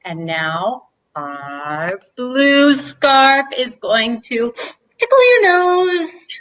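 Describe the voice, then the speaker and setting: Female children's co-host, high voice, unhurried pace, playful sing-song delivery for little ones. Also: big pitch swings, a bit bright. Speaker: children's librarian co-hosting story time. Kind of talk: leading a children's movement rhyme.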